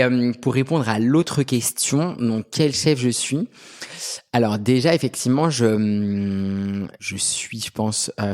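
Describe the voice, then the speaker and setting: A man's voice: lowish voice, a little mellow. A man in his thirties from Brittany, chatting as an interview guest with many fillers and restarts. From Brittany, France. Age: 30s. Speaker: guest, pet specialist and agency head. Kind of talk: casual interview talk.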